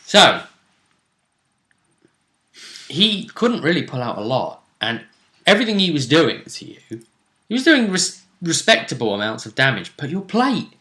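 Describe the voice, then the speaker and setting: A man's voice: fairly high pitch, sing-song, measured pace, long pauses, bright, forceful tone. A man, recapping a tabletop game session as game master in a wry, chatty way. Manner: chatty, wry, jokes about the fight. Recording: echoey room.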